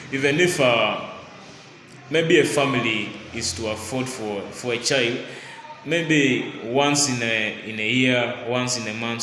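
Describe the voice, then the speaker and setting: Man, bright voice, halting with many fillers, roomy reverb, faint background hiss. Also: medium pitch. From Uganda. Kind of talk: informal explanatory talk. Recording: echoey room with long reverb.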